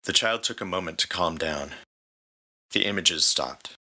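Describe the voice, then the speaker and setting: Low-voiced man narrating dramatic fiction. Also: measured pace, sharp tone. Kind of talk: dramatic scripted story narration. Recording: prominent music bed.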